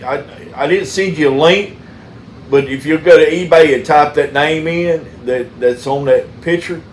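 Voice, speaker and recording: Man, Southern US accent, casual chat, folksy, halting, echoey room, faint noise. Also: a little high. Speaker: mechanic and channel host. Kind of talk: casual phone chat with storytelling.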